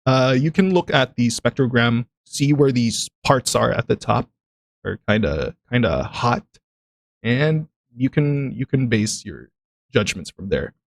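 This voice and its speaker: A man's voice: mid-range voice, expressive, a little slow, gravelly, no room sound, studio quality. A man with a Filipino accent, speaking English as a second language, chatting casually about voice acting on a stream and often breaking into laughter. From Philippines. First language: other than English. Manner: rambling, laughs often, many restarts.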